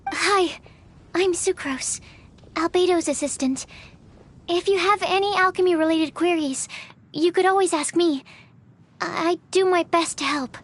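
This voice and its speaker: A woman's voice: very high voice, a little slow, pauses often. A woman playing a shy, earnest young alchemist's assistant in a video game, timid and hesitant. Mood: shy, nervous. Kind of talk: video game character acting. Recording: music playing behind the voice.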